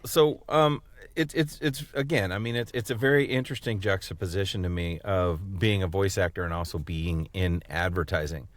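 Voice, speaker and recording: A man with a fairly low voice talks casually as a podcast co-host. His delivery is halting, circling back over words as he puts his thoughts together. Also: fairly even intonation, no room sound. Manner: halting, repeats words while forming questions. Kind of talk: casual chat, interviewing.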